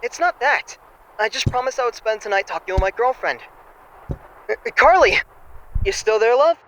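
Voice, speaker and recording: High bright male voice, actor as flippant young exchange student, excitable, evasive, audio drama call, noisy line. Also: moderate pace. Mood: awkward, evasive. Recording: noisy phone-call style recording.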